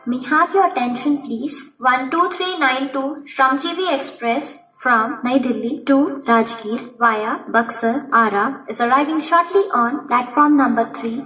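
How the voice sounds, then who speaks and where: A woman with an Indian accent making formal scripted railway station announcements in measured, stitched-together phrases. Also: sits high. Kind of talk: scripted public announcement in Hindi and English. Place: railway station, announcement heard over PA speakers. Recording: echoey station loudspeaker, distant mic, music.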